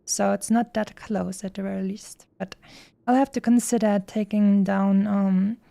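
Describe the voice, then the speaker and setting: Female game streamer, casual and rambling. Dark, relaxed timbre, little pitch movement, playful phrasing, faint music behind. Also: unhurried, gravelly, dry recording. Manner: rambling, playful cutesy wording. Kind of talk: casual livestream chat over gameplay. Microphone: streaming microphone.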